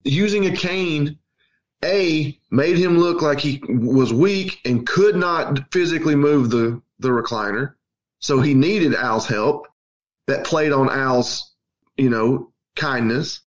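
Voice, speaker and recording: A man's voice: on the high side, takes it slowly, highs cut off. A man co-hosting a true crime podcast, who speaks bluntly and swears often as he reasons through the case. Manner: blunt and profane, emphatic repetition. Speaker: podcast co-host. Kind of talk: casual podcast chat.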